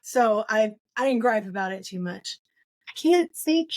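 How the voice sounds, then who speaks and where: A woman's voice: a little high, expressive, measured pace, a little mellow, dry recording. A woman chatting casually about books as a co-host, rambling a little and thinking aloud as she goes. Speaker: book podcast co-host. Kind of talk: casual book discussion chat.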